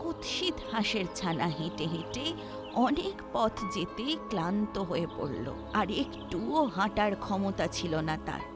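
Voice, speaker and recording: A woman narrating a scripted children's story with an expressive storybook delivery. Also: mid-range voice, unhurried, music in the background.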